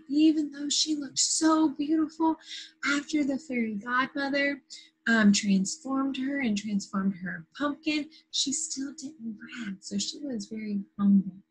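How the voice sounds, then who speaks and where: A woman teacher leading an interactive lesson for young children after a read-aloud, in simple, patient phrases with questions and drawn-out key words. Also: fairly high pitch, slightly rough, poor audio. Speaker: primary school teacher.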